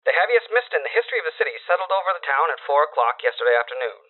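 An actor playing an urgent newswire announcer, reading formal, clipped dispatches through a radio filter. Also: male voice, a little high, very animated, very fast. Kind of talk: clipped old-time news bulletin reading. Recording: tinny band-limited radio or telephone sound.

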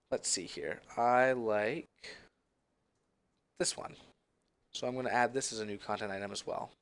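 A young man presenting a screen-recorded software tutorial, explaining each step in a friendly, conversational way. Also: on the low side.

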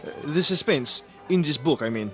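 An actor playing an evasive, courteous Viennese baron in a radio drama, with an Austrian German accent and a hesitant, ingratiating manner. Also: male voice, a little high, lively intonation, moderate pace, fairly bright tone, soft background music.

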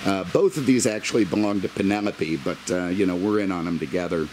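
A man's voice: low-pitched, quick pace, darkish tone, a little hiss or hum, rough recording. A middle-aged American man giving a rambling, casual car walkaround with wry, self-deprecating, exasperated humor and mild swearing. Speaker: car review vlogger.

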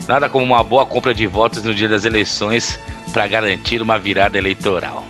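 A man's voice: lowish voice, fairly even intonation, a little fast, fairly bright tone, faint music behind, close to an everyday voice. A man playing a cynical, gloating schemer in an audio drama, with a sardonic manner.

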